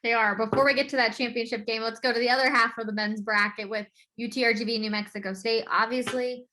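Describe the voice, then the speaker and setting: A woman's voice: fairly high pitch, sharp tone. A woman hosting a sports podcast in a casual, informal, conversational way as she steers the discussion.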